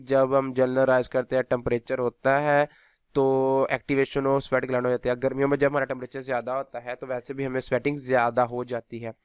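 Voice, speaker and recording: A man's voice: medium pitch, clear, resonant tone, no room sound, clean studio sound. A man giving an instructional physiotherapy lecture, explaining in a teacherly way and mixing in English technical terms. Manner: switches between Hindi and English technical terms.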